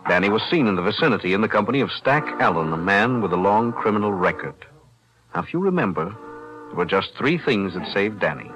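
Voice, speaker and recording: An actor playing a calm defense attorney, measured and precise, in 1940s radio-drama style. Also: male voice, fairly low pitch, rough recording. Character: calm, principled male lawyer.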